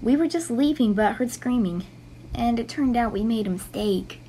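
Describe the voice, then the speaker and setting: A woman's voice: on the high side, expressive, normal speed, dark tone, a little hiss or hum, close to an everyday voice, poor audio. A woman voicing a cool, teasing demon-hunter pony in a cartoon drama, matter-of-fact in manner. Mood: explaining. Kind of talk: animated cartoon audio drama.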